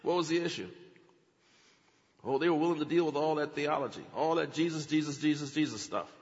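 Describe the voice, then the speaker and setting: A man's voice: on the high side, a little fast, big-room sound, lo-fi sound. A man preaching a teaching sermon, dramatic, acting out quotes with big swings in his voice.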